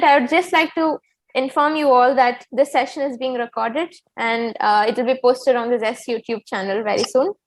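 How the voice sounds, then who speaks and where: A woman's voice: sits high, poor audio. A young adult woman with an Indian accent, formally and politely welcoming people as the student host of an event.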